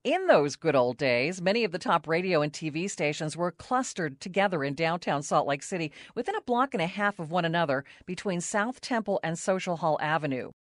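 Low-voiced woman narrating a radio feature. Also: expressive, brisk, hardly any pauses, dry recording, clean studio sound. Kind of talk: scripted documentary narration. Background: music under the narration.

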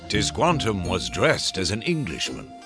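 A man's voice: lowish voice, huge pitch swings, normal speed, music in the background. A man delivering scripted, solemn narration of a historical radio drama as its storyteller. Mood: storytelling.

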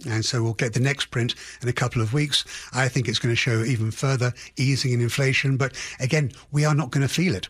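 A man speaking as an expert guest in a radio interview, analysing in a halting way with qualifiers and emphatic restatements. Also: mid-range voice, steady tone, a bit bright, husky, no room sound. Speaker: housing economist guest.

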